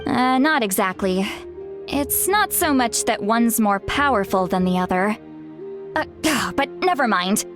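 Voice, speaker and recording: A woman's voice: on the high side, animated, measured pace, over music. A woman playing a young female seer in a video game, formal and aloof but self-conscious. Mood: matter-of-fact. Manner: formal, self-conscious, punctuated by sighs and throat clearing.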